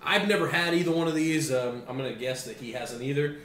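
A man's voice: mid-range voice, fairly quick, fairly bright tone. A young American man co-hosting a beer tasting, chatting casually and informally with plenty of swearing. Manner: informal, profane. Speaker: co-host of a beer tasting show. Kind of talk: casual chat. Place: small kitchen at a table, indoors. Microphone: camera mic across the table. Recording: echoey room, background hiss.

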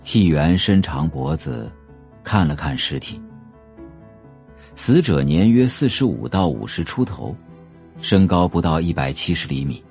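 Male narrator for audio fiction: expressive range, measured and atmospheric, soft music underneath. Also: sits low, vocal fry. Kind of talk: scripted audio drama narration of a novel.

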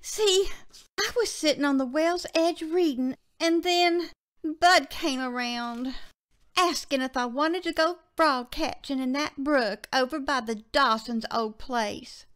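A woman's voice: high voice, slow, a bit bright, dry recording, voice pushed up. A woman voicing an earnest, imaginative little country girl who rambles breathlessly and pleads with her father in an audio story. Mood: breathless explaining. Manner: childlike, breathless rambling with "like" and "see".

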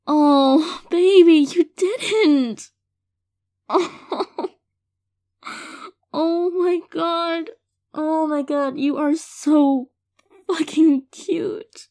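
Woman performing a loving girlfriend, audio roleplay, sincere, hesitant, slow delivery, close and dry sound. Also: high-pitched, no room sound, full character voice. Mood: touched, gushing. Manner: soft-hearted, hesitant, halting, gently sincere. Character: affectionate girlfriend tenderly reassuring her partner. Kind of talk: intimate audio roleplay, casual monologue to listener.

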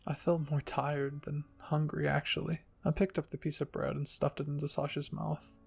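A man narrating a first-person story in a scripted ASMR reading style, with a husky, gravelly voice. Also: fairly high pitch, monotone, moderate pace, faint music behind. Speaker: fan fiction reader and narrator.

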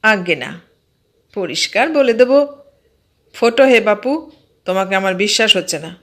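A woman voicing a mild, stubbornly sceptical man in an audio story, explaining things in a measured, matter-of-fact way. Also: medium pitch, fairly bright tone, close to an everyday voice. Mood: calm, unruffled.

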